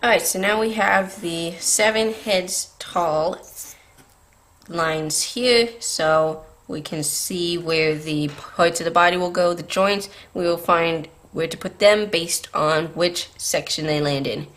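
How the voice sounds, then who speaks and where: Low-voiced woman with a tired, scratchy sound. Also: fairly even intonation, takes it slowly, fairly bright tone. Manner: patient, beginner-friendly explaining. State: tired, scratchy voice from staying up late. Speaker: drawing tutorial host. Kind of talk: step-by-step drawing lesson.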